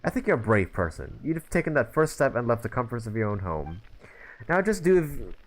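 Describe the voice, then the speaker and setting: A man's voice: mid-range voice, very animated, fairly quick, low-quality recording. A man voicing a free-spirited young adventurer girl in a video game, teasing and encouraging in an easygoing way. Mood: warm, encouraging.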